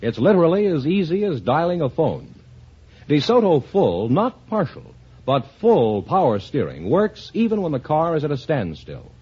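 A man's voice: a little high, big pitch swings, normal speed, husky. An American man reading a 1950s radio advertisement with polished, emphatic salesmanship. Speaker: commercial announcer. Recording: muffled vintage radio recording.

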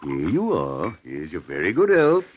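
An actor playing a sly, jovial gardener in a 1940s radio drama, folksy and joking. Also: male voice, deep voice, huge pitch swings, unhurried, dull audio, slight background noise, exaggerated voice. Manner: folksy, joking dialect speech. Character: sly rustic male gardener, jovial yet menacing.

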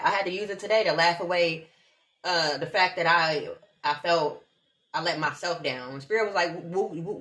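A woman from Omaha, a laughter yoga teacher, chatting casually in an animated, slangy way. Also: fairly low pitch. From Omaha, Nebraska. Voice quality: animated, slangy, spiritual talk with bursts of laughter. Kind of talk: casual chat.

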